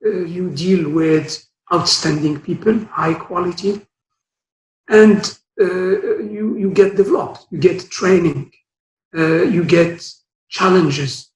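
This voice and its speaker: A man in his sixties from Morocco, a retired guest speaker telling his career story with many pauses and fillers. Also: high-pitched. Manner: hesitant, many fillers and restarts. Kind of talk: career talk. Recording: echoey room.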